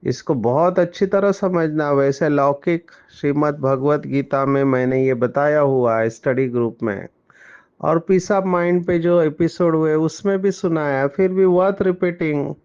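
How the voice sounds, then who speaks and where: A man delivers a spiritual discourse at a measured, very slow pace. His voice sits a little high for a man and rings smooth and clear. The recording sounds slightly muffled. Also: darkish tone. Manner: slow, explanatory, mixing in English words. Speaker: spiritual teacher.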